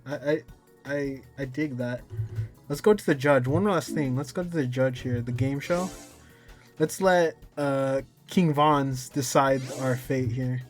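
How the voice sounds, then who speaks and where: A man's voice: medium pitch, a little slow, faint music behind. A man talking informally and a bit ramblingly through a casual gameplay tutorial, often poking fun at himself. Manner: informal, rambling, self-deprecating. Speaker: tutorial video maker.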